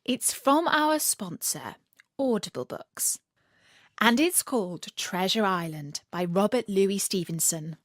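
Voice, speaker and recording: A woman narrating a scripted children's story as storyteller and host, with an animated, theatrical and deliberate delivery. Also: mid-range voice, dry recording, clean studio sound.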